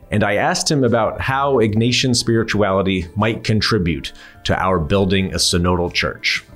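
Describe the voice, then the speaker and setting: Calm, measured male podcast host. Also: on the low side. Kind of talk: scripted podcast intro and interview.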